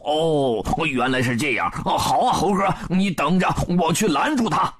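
Husky, blustering pig-man Pigsy. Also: a little high, moderate pace, voice pushed up. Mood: agreeable. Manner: hearty, blustering, interjection-filled. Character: Pigsy, blustering, simple, eager pig-man demon fighter.

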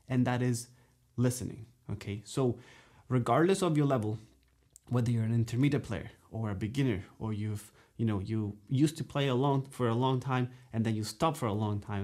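A man in his thirties gives a saxophone lesson straight to camera, speaking at a middling pitch. He is animated and gestures as he talks. He keeps checking in with "okay?" and "you know" between points, in a studio room. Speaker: saxophone teacher. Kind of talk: direct-to-camera music lesson. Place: dark studio room, indoors.

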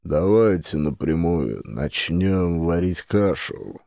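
A man voicing a big, blunt, self-assured wrestler with a booming, drawn-out delivery in an audio play. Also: lively intonation, gravelly, mellow, dry recording, clean studio sound, exaggerated voice.

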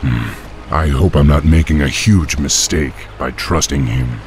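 An actor playing a proud young orc war chief in a fantasy game, gruff and commanding. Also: male voice, extremely low pitch, measured pace, a little mellow, a little hiss or hum. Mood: wary, puzzled. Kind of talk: dramatic fantasy game voice acting.

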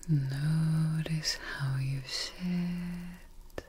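A woman leading an ASMR guided meditation in a soft, breathy, mostly whispered voice, gentle and soothing, with short phrases and pauses, close to the microphone. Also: sits low, steady tone, a little hiss or hum. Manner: soothing, gentle, unhurried, pausing often between short phrases. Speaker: ASMR host guiding meditation.

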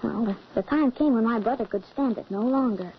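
High-voiced anxious young boy played by a woman. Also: a little fast, close to an everyday voice. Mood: grave narration. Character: anxious young boy recalling and living eerie events. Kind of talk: 1940s radio acting. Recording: muffled, noisy old radio recording.

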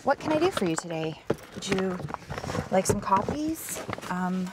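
An actress playing an eager, awkward new office manager, polite and hesitant, in naturalistic screen acting. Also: female voice, mid-range voice, sing-song, unhurried. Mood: nervous, polite. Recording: very noisy background.

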